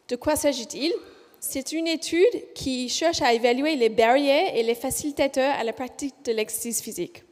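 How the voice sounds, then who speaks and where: Casting: a high-pitched Australian woman researcher, conference talk, halting and careful, booming hall reverb. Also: a little mellow. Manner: speaks slowly and deliberately, with frequent hesitations and self-corrections. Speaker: physiotherapist researcher presenting a study. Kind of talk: conference presentation.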